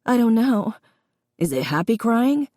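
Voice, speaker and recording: A woman's voice: fairly high pitch, very animated, a little slow, warm tone, dry recording, clean studio sound, pitched above the performer's own voice. A woman voicing a caring but firm grown daughter in an audiobook, plain-spoken. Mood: tearful, tender. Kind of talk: audiobook character dialogue.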